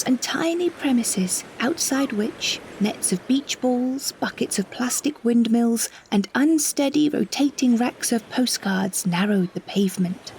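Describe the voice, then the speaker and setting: A British woman reads a scripted first-person story with measured, expressive phrasing and careful delivery. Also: on the high side, a little hiss or hum, low-quality recording. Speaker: narrator, solo performer of an audio story.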